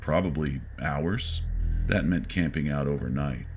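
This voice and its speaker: A man's voice: a bass voice, a little slow, soft background music. A man reading a scripted first-person horror story as narrator. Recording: faint background noise.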